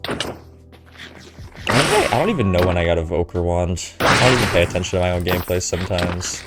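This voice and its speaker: A man's voice: sits low, very animated, brisk, loud music behind the voice. A young man with a stuffy nose from a cold, giving casual, chatty voiceover commentary over gameplay and filling gaps with ums. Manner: casual, filler-heavy, chatty. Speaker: gaming commentator.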